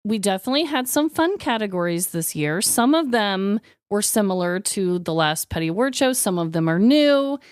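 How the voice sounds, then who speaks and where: Animated woman podcast co-host, dry close sound. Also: medium pitch, normal speed, hardly any pauses, clear, resonant tone, no echo. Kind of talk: casual podcast chat.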